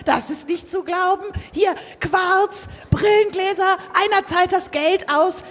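A woman's voice: very high-pitched, unhurried, slight background noise. A woman playing an indignant, theatrical former casting-show contestant in an improvised comic radio play, accusing and dramatic. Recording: echoey hall, live stage.

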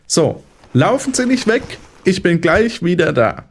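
A man's voice: high-pitched, sing-song, measured pace, exaggerated voice. A man playing a patronizing, controlling psychiatrist in an audio drama, lecturing in a didactic, condescending way. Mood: patronizing, soothing. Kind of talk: German audio drama acting.

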